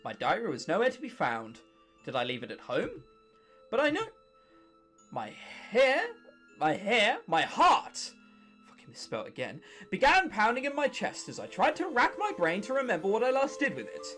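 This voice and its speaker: High-pitched young British man, animated delivery. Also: moderate pace. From England or Britain. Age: late teens. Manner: sarcastic, sweary asides. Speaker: gaming video host. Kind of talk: casual playthrough commentary, reading visual novel text aloud. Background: music.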